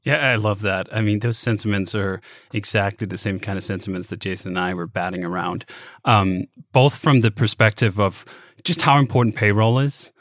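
A man's voice: on the low side, fairly even intonation, normal speed, a bit bright, no room sound, studio quality. A man from Denmark, hosting a casual podcast conversation, frank and emphatic, swearing freely and restarting phrases. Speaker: podcast host.

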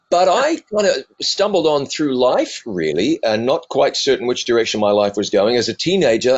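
Middle-aged new zealand guy, lowish voice, muffled audio, jokey and animated as he tells his story. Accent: New Zealand, softened by decades in the US. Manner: animated, self-deprecating, jokey. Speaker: evangelist and TV presenter. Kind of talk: storytelling interview answers.

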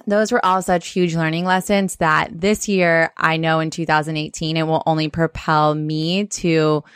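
A woman co-hosting a podcast speaks frankly and earnestly, with emphatic moments and the odd curse. Her voice is low, smooth and clear. The recording is dry and close-miked. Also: flat delivery, normal speed, no room sound. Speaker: podcast co-host. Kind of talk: casual podcast chat.

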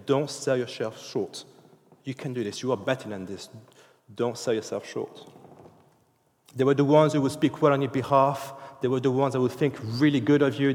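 A man's voice: medium pitch, darkish tone, big-room sound. A man in his thirties, a native French speaker, gives a sermon in an informal, chatty way, full of restarts and fillers. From France. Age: 30s. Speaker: preacher. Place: church hall.

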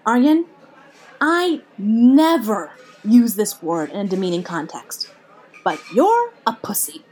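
Lady acting a blunt sweary comedian friend in an audio drama, punchy and sarcastic, pitch jumps around a lot. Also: very animated, slow, a little mellow. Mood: forceful, rallying. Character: brash, blunt female comedian, crude and bossy friend.

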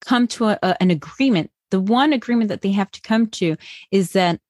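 A woman from Colombia talks as a podcast guest about raising bilingual children. She speaks in an earnest, encouraging way and often restarts her sentences. Her voice sits at a middling pitch, and the recording is dry and close. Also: expressive, brisk, a little mellow, no room sound. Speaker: guest, bilingual parenting educator. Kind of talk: podcast interview answers.

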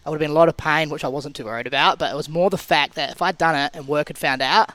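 A man in his thirties, a guest telling stories in a relaxed, rambling way with frequent restarts. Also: a little high, racing, no room sound. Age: 30s. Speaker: guest, CrossFit athlete and coach. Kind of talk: casual podcast interview.

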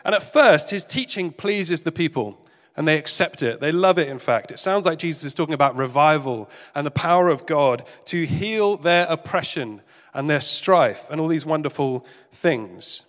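A man preaching a sermon in a gentle, careful, conversational way, sounding a bit congested and nasal. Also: fairly high pitch, animated, moderate pace. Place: church service hall. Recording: reverberant hall with faint music.

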